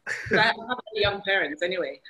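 A Nigerian woman in her fifties, answering interview questions over a video call in a relaxed way. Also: mid-range voice, a little fast, rough recording. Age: 50s. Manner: relaxed, laughs easily. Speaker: guest. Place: indoor room at home. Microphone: video call webcam.

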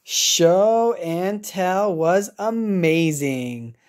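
A woman's voice: fairly low pitch, lively intonation, very slow, a little mellow. An American woman teacher reading a children's picture book aloud, expressively, with simple asides and reactions for young learners.

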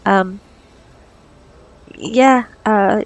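A woman's voice: mid-range voice, drawn-out words. A woman playing a flustered, awkward young doctor on a date in an ASMR roleplay, stammering nervously and self-consciously. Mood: shy, flustered.

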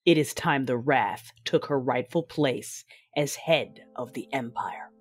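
A woman playing a bitter, imperious Sith Lord bent on vengeance, narrating an audio drama in a grave, measured way. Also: rumbling low, over music. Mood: cold, commanding.

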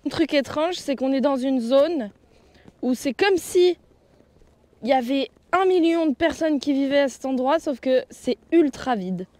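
A woman's voice: sits high, lively intonation, measured pace, mellow, no room sound. Giggly young woman vlogging outdoors. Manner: nervous, giggly, chatty. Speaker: freestyle skier vlogging. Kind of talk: casual vlog chat. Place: outdoors, walking around a city and ski venue. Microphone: action camera held at arm's length.

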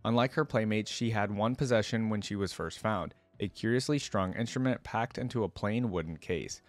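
Low-voiced young man, dry close sound. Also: normal speed, no room sound. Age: young adult. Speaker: reaction video creator. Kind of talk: casual reaction commentary, reading lore aloud. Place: indoor room at a desk. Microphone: close desk microphone.

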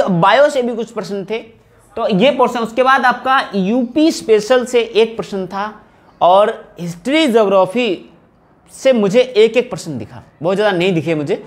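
A man gives an informal explanatory lecture as a coaching teacher, often repeating phrases for emphasis. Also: high voice. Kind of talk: informal exam analysis lecture to camera. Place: small indoor room, at a whiteboard. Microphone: wired lapel mic.

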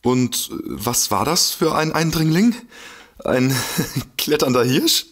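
A gullible, excitable fellow in a comic audio drama. His husky voice swoops up and down as he stammers and exclaims, easily amazed. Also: mid-range voice, slow pace, exaggerated voice. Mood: puzzled, curious. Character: gullible, excitable man, a food-loving paranormal enthusiast.

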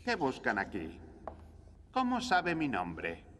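Dour caretaker type, wry grumble, lively intonation. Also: male voice, mid-range voice, slow, slight background noise, exaggerated voice. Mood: grumbling. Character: grim male morgue keeper, grumbling.

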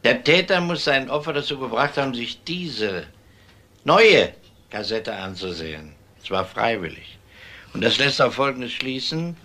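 An actor playing a methodical police inspector in a crime drama, questioning in a deliberate, polite manner. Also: male voice, medium pitch, expressive, pushed and bright. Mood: probing. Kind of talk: German TV crime drama acting.

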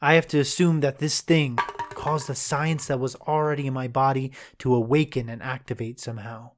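A man's voice: medium pitch, level tone, normal speed, lightly acted. A man playing a brooding, chivalrous young fighter with an intense, earnest delivery in a fan-made manga drama. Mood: resolute.

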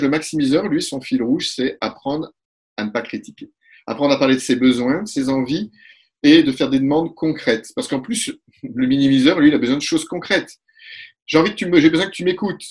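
Husky man explaining on a video call. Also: a little high. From Italy. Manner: animated, gestures a lot, acts out quick exchanges. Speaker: couples therapist. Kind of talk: explanatory webinar talk. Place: room at home. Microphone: webcam on a video call.

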